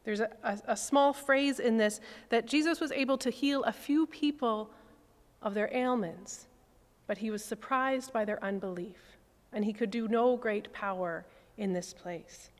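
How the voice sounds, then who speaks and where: Woman preaching in a reverberant cathedral. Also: on the high side. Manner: measured, reflective preaching with soft falling phrase endings. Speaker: priest. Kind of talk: sermon. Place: cathedral chancel at a lectern. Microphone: stand mic on lectern. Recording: long church reverb.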